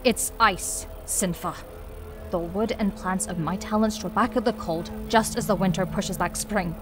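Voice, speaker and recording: A woman playing a somber, resolute female druid healer, speaking gravely and earnestly in a dramatized fantasy audiobook. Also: medium pitch, a little slow, music in the background, natural voice. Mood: grave, frustrated.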